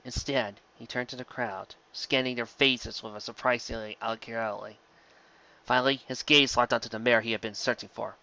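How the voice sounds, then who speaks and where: A man's voice: mid-range voice, fairly even intonation, fairly quick, creaky, pushed and bright, highs cut off. A man reading a fantasy story aloud as a narrator, in a dramatic scripted style. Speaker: audiobook narrator of fan fiction.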